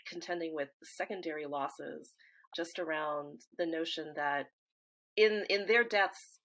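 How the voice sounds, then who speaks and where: A woman from the US East Coast, a guest in an interview, speaking in a reflective, measured way with frequent fillers and restarts. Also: low voice, fairly bright tone, rough recording. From East Coast of the United States. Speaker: interview guest, grief support founder.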